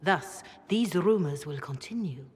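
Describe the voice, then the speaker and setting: An actress playing a stern, formal reverend mother in a fantasy game, speaking in a measured, proud and wary way. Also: low-pitched, expressive, a little mellow, husky, full character voice. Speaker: religious dignitary. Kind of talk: scripted fantasy game dialogue. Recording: long hall reverb.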